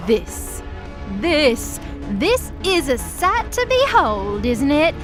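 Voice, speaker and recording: A theatrical demoness villain in an audio drama, high and very lively. She mocks and gloats playfully, stretching her words slowly over a music bed. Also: exaggerated voice. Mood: gloating. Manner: showy, mocking, drawn-out delivery.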